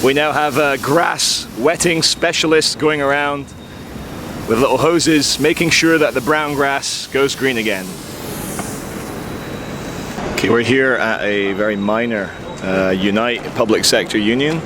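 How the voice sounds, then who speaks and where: A hoarse man with a chesty cold, vlogging as he walks a city street with wry, sarcastic commentary. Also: medium pitch, unhurried. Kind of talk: casual walking commentary. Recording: outdoor street recording with traffic noise. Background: street traffic noise.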